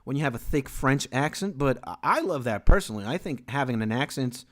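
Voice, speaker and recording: Lively Venezuelan streamer man rambling. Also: mid-range voice, brisk. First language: Spanish. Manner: lively, rambling, reads chat aloud. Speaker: music producer streamer. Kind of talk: casual live stream chat.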